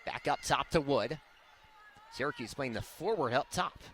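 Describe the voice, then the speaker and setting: A young man calling live basketball play-by-play as a radio announcer, with an excitable delivery that swells on big plays. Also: mid-range voice, normal speed, slight background noise. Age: young adult. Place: courtside at indoor basketball game.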